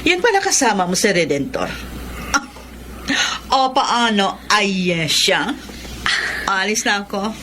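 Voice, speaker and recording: A woman's voice: medium pitch, big pitch swings, takes it slowly, long pauses, bright, forceful tone, slight background noise, exaggerated voice. A woman acting as a breezy, pointed middle-aged aunt in a Filipino radio drama, with an arch manner.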